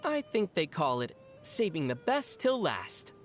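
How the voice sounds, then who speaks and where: An actor voicing a young traveling adventurer in a video game, level-headed and gently teasing, explaining things in a dry, playful way. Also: mid-range voice, very animated, unhurried, soft background music. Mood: dry, explanatory. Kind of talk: video game character dialogue.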